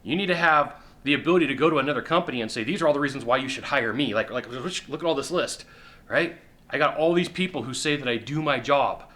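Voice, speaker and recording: A middle-aged man giving sales advice straight to the camera from a sofa indoors, emphatic and repetitive, with quick acted-out asides. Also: mid-range voice, sharp tone. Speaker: sales coach. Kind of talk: direct-to-camera advice talk. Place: indoor living room on a sofa.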